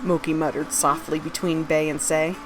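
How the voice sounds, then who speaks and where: A woman with a slightly low voice narrates a fantasy story. She reads scripted prose in the role of author and storyteller, with music clearly playing behind her. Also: little pitch movement, normal speed, poor audio. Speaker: author reading own fantasy story aloud.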